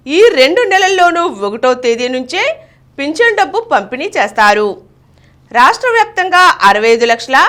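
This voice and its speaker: High-pitched, animated woman newsreader. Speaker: news reader. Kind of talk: scripted news reading.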